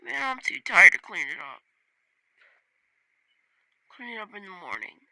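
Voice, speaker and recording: A woman's voice: mid-range voice, very animated, unhurried, vocal fry, pushed and bright. A woman doing informal narration and amateur acting as a video creator.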